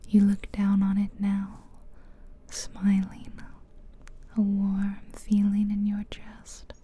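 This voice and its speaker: Female ASMR guide: breathy, soothing whisper, very flat delivery, extremely unhurried, short phrases with pauses, close-miked. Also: mid-range voice. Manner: slow, soothing, pausing between short phrases. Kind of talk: soft-spoken whispered ASMR guided visualization. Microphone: close stereo ASMR microphone. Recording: close ear-to-ear mic, music behind.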